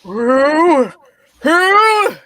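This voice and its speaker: Woman playing a slow, pleading old miser, bright-voiced. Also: sits high, sing-song, no echo, exaggerated voice. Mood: awed. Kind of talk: amateur live play reading.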